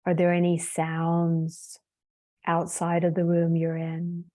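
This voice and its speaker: A woman leading a guided meditation as a yoga teacher, soothing and unhurried, leaving long pauses between phrases. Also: on the low side, completely flat delivery, mellow.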